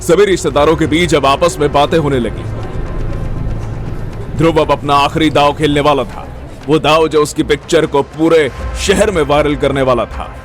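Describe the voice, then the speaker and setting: Higher-pitched male story narrator. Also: fairly even intonation, normal speed, soft background music. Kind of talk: scripted Hindi audio story narration.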